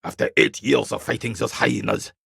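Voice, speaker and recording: An actor playing a bitter, proud royalist war veteran in his eighties in a video game, with a rasping, weathered voice and a haughty, formal military way of talking. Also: fairly low pitch, little pitch movement, normal speed, a bit bright, no echo, studio quality, exaggerated voice. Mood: bitter regret. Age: 80s. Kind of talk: video game character dialogue.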